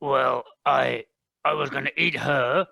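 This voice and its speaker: A performer playing a sulky, hapless giant monster in an amateur radio B-movie parody, with a gravelly voice and a petulant grumble. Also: medium pitch, steady tone, slow pace, bright, forceful tone, exaggerated voice, low-quality recording.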